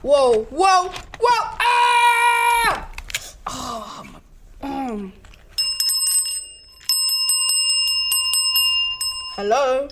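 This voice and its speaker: A man's voice: extremely high pitch, very animated, very slow, very noisy, voice pushed up, exaggerated voice. A young male performer playing an upbeat, unlucky man in an amateur radio play. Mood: startled, pained. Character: Bob, upbeat unlucky man turned comedian.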